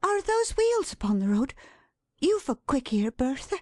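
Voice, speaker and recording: A woman voicing an excitable, affectionate, flustered young wife in a storybook reading, breathless and emphatic. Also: sits high, measured pace, no room sound, studio quality, voice pushed up. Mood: gently anxious. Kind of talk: dramatised classic storybook reading.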